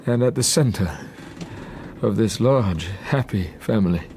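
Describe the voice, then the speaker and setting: An actor playing a dying, tormented man who gravely narrates his past in a formal audio drama. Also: fairly low pitch, lively intonation, unhurried, warm tone, slight background noise. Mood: wistful, brooding.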